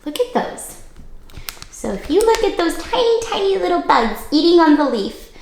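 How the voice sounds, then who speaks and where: A woman reading a picture book aloud to young children, animated and coaxing, pausing to ask them simple questions. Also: extremely high pitch, echoey room, a little hiss or hum, low-quality recording. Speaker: children's storytime reader.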